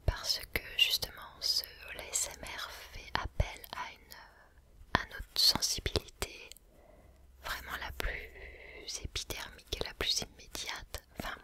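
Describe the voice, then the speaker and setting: Guy whispering really slow for an asmr video, thinking out loud, lots of pauses. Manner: musing, reflective.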